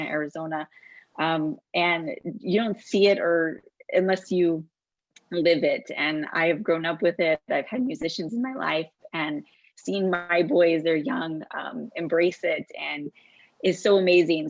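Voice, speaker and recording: A woman with a low, gravelly voice presents her children's book on a video call from home. She talks earnestly, with plenty of "um" and "you know". The audio is dull and dry. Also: no echo. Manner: earnest, many fillers. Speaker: children's book author and teacher. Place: home room.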